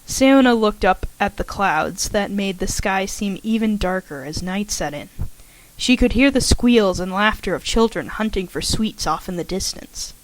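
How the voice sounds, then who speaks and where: A woman's voice: mid-range voice, animated, normal speed, low-quality recording. A woman carefully reading a story aloud, slightly shaky in her delivery. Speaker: fanfiction audiobook reader.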